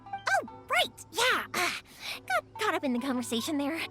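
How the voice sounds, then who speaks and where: A very high-voiced, bubbly young woman who makes fireworks in an anime-style game. She is warm-hearted and excitable, swinging lively through rambling chatter, with prominent music behind her. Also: moderate pace, exaggerated voice. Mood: chatty, rambling. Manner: rambling, excitable, easily sidetracked.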